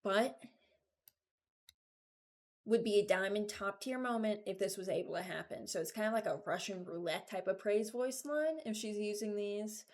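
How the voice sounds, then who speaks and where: A woman's voice: medium pitch, normal speed, a little mellow. Casual, rambling young woman commentator. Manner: informal, rambling, candid. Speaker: video creator.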